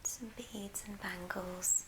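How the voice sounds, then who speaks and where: A woman whispering breathily in a gentle ASMR craft tutorial, explaining step by step. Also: medium pitch, steady tone, takes it slowly, background noise.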